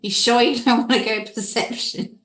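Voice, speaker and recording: A woman's voice: a little high, fairly even intonation, moderate pace, fairly bright tone, soft background music. A woman chatting casually as a player in a tabletop role-playing game.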